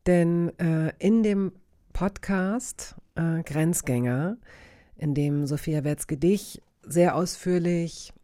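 A woman's voice: lowish voice, slow pace, dark tone. A middle-aged woman hosting a casual, conversational interview. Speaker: interview host.

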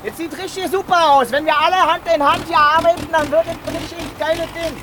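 Bossy, brisk countrywoman, high pitch, natural radio-drama delivery, clear background noise. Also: fairly even intonation. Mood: excited. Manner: commanding, colloquial. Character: brisk bossy village woman organising a scam, later guiding press. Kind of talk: naturalistic radio play acting.

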